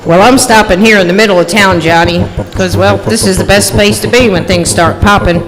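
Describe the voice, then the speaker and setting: High, eager radio townsman played by a man. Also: steady tone, brisk, close to an everyday voice. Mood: ominous, excited. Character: chatty, mysterious local man warning of a ghost. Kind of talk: old-time radio acting on stage. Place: live stage in a museum courthouse. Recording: echoey hall, music behind.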